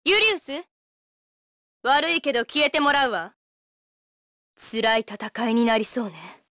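High, feisty young fighter girl. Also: fairly bright tone, no echo, clean studio sound, full character voice. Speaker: video game voice actress. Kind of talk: anime-style game battle voice clips.

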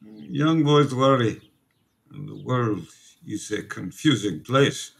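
Slow-spoken, guarded immigrant priest. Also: male voice, medium pitch, creaky. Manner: measured, guarded, formal phrasing. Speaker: actor in a radio play. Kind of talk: radio drama acting.

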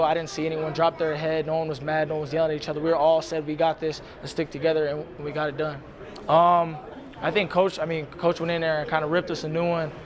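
Young male college player, upbeat, quick, flat-ish delivery, lapel mic, crowd noise. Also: a little high. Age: young adult. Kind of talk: post-game interview. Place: indoor arena interview backdrop.

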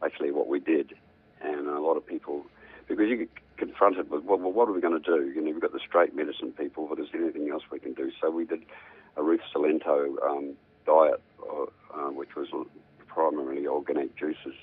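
An older man, a musician, chatting in a rambling, relaxed way as a radio guest. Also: a bass voice, brisk, slightly rough, narrow, tinny audio. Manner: rambling, hums riffs aloud.

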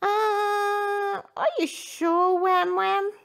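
A woman voicing a shy, gentle female bunny who speaks softly and hesitantly. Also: very high voice, flat delivery, extremely slow, creaky, pitched above the performer's own voice, exaggerated voice. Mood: wistful.

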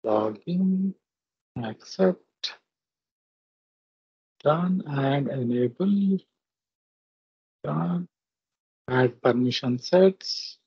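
A man walks through a software demo at an unhurried, halting pace. His voice is somewhat husky, and he gives short instructions one step at a time. The recording is dry and a little dull, like a screen capture. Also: on the high side, expressive, no echo. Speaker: software tutorial presenter. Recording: muffled lo-fi screen-recording audio.

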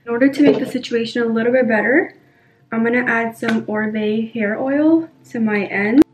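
A woman's voice: on the high side, fairly even intonation, moderate pace, slight background noise. Chatty young woman vlogging in an echoey bedroom. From New York. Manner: chatty and candid, many fillers. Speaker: lifestyle vlogger. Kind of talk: casual vlog chat to camera. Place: bedroom indoors.

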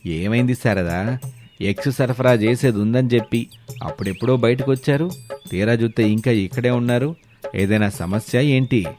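A man's voice: medium pitch, moderate pace, darkish tone, natural voice. Guy doing an older teasing father in law in a cartoon story, wry questions, mid voice, faint music. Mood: chiding. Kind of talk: animated moral story dialogue.

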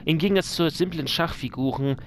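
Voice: medium pitch, brisk, a little hiss or hum, close to an everyday voice. A man voicing a self-important, intellectual chess-piece creature in a game, speaking pompously.

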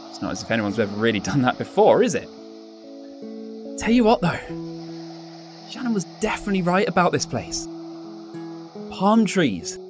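An actor playing a studious, wry young British exchange student in a radio drama. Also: male voice, high-pitched. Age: young adult.

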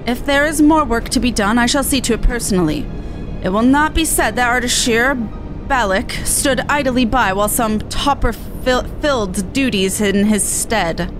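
A woman's voice: on the high side, normal speed, music in the background, close to an everyday voice, low-quality recording. A woman reading game dialogue as a haughty, wordy male scholar with formal, sarcastic airs. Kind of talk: game dialogue read aloud in character.

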